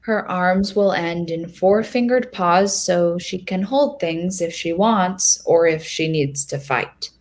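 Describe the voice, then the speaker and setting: A woman reads as a young girl narrating her own storybook adventure. She is hopeful and a little nervous. The voice sits fairly low and moves very slowly, with deliberate expression. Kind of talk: first-person storybook narration.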